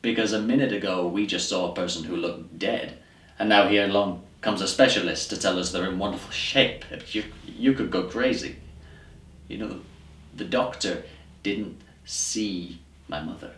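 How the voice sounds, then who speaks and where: Man acting a deep-voiced, exasperated, disillusioned theatre man. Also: a bit bright. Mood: exasperated, despairing. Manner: halting, emphatic, repeats words, laughs ruefully. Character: upset, disillusioned New York theatre man, musing and exasperated. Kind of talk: dramatic audition monologue, conversational stage acting. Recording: roomy echo, distant microphone.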